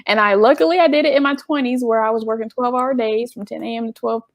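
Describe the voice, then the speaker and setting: A woman's voice: a little high, fairly even intonation, quick pace, no room sound, clean studio sound. A woman in her thirties from Detroit with a light voice, chatting in a loose way with many fillers as an interview host. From Detroit, USA. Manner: chatty, lots of fillers and laughs. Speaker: host, animator. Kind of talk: casual interview talk.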